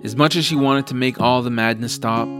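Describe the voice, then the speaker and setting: A man delivers scripted story narration for an audio drama. His voice is medium in pitch, and music plays clearly underneath as he reads. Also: brisk.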